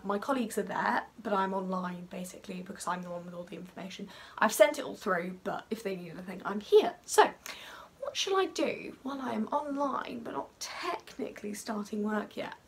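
A young woman chatting upbeat and fast in a casual sewing vlog, recorded on a camera at arm's length in a small room at home. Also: mid-range voice, fairly bright tone. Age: young adult. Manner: chatty and upbeat, quick asides. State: croaky voice. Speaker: sewing vlogger. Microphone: phone or camera at arm's length.

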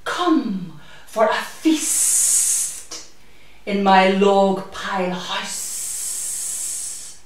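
A sinister storybook snake, as a woman puts it on, stretching its words out extremely slowly. It half-whispers with a soft, breathy lure, heard from off in a very echoey room. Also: medium pitch, lively intonation, a little hiss or hum. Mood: luring. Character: slow, sinister snake, luring with soft hisses. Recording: echoey room, distant microphone.

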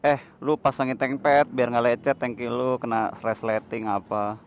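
A man's voice: mid-range voice, level tone, moderate pace. A man with a Jakarta Indonesian accent chatting in a casual, slangy, joking way as a motovlog host. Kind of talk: casual riding vlog chat. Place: outdoors on a motorbike in city streets and forecourts. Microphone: lavalier worn inside a motorcycle helmet. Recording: loud traffic and wind noise behind.

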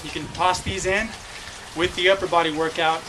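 A man's voice: sits high, little pitch movement, normal speed. A young man giving casual, upbeat workout instructions straight to camera on a covered porch outdoors. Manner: upbeat, coaching, direct to camera. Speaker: fitness vlogger. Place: covered front porch outdoors on a rainy day. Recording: noisy outdoor camera audio.

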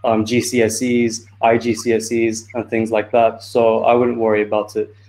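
A man's voice: lowish voice, completely flat delivery, normal speed, a little mellow. A man in his twenties from Turkey, speaking informally and a little hesitantly as a student presenter on a video call. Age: 20s. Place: indoor room on a video call. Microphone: video call microphone.

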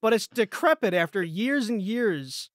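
A man's voice: high-pitched, lively intonation, a little slow, fairly bright tone, dry recording, clean studio sound. A man telling stories in a casual podcast chat, peppering them with "like" and quoted reactions. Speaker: voice actor, podcast guest.

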